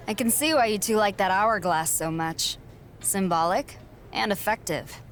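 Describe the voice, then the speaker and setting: Casting: actress for a cocky female wrestler in a game story, mid-pitched, self-assured, slight noise behind. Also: moderate pace, lightly acted. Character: confident, cocky young female wrestler, the new ally. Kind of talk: video game cutscene acting.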